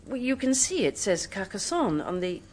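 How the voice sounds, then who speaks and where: A woman's voice: medium pitch, big pitch swings, normal speed, darkish tone, slight background noise, natural voice. An actress playing a well-bred, evasive British married woman in a radio drama, polite with anxiety under the poise. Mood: startled.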